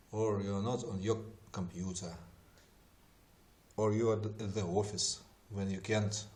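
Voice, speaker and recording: A man's voice: lowish voice, darkish tone. Non-native English speaking man presenting a tutorial. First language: not English. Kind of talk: explanatory tutorial narration.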